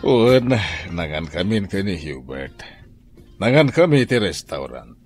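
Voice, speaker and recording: A man's voice: deep voice, big pitch swings, unhurried, a little mellow, soft background music, exaggerated voice. A man playing an exasperated, blaming husband of the house with an Ilocano accent in a radio drama.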